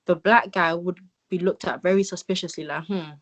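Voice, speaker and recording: A woman, a podcast guest, speaking hesitantly and often trailing off into a quieter voice. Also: on the low side, steady tone, fairly quick. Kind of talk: casual conversational interview.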